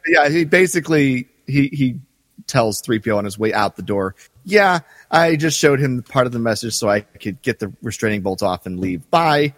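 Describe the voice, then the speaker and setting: Animated male podcast co-host. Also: medium pitch, normal speed, no echo, big-room sound. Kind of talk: casual discussion of a radio drama.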